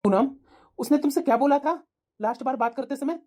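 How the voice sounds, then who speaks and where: A woman's voice: high voice, fairly quick, darkish tone, natural voice. A woman playing a supportive, resolute young husband in a family melodrama, speaking earnestly and reassuringly. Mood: planning. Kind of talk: melodramatic family story dialogue.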